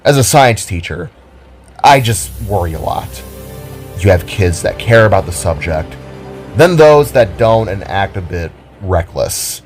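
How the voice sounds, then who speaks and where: A man's voice: low voice, expressive, a little slow. A man voicing a grumbling but loving young male teacher creature in an audio drama, hesitant and wry, over music. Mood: hesitant, worried. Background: background music.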